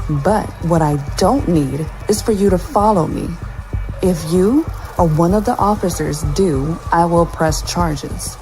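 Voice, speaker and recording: A woman playing an imperious crime boss in an audio drama, with a cold, deliberate, commanding manner. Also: on the low side, a little mellow. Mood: cold menace. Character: imperious female crime boss, warehouse owner, curt and menacing. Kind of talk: audio drama acting with first-person narration.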